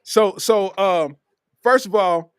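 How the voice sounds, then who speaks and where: A man from Kentucky hosts a comedy podcast in a loose, easygoing way. His voice sits high for a man. The sound is dry and close, with no room echo. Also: slow pace, clean tone. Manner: laid-back, repeats "you know what I'm saying". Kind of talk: casual comedic podcast chat.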